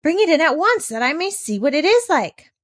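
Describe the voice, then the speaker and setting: A woman voicing an eager, curious, kind-hearted young girl in a storybook, excitable and pleading. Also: high-pitched, a little fast, pitched above the performer's own voice.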